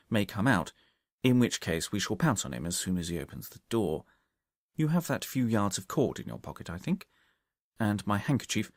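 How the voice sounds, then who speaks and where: Male detective, acted by an audiobook narrator: lowish, animated, confident, methodical, used to command. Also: no room sound, studio quality. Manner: reasoning aloud, deliberate, commanding. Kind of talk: audiobook character dialogue from a Victorian detective story.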